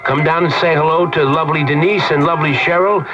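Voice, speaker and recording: A man's voice: a little high, fairly even intonation, quick pace. A man reading a radio advertisement, heard through a vintage radio speaker. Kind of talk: radio ad read. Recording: dull vintage radio speaker, music behind.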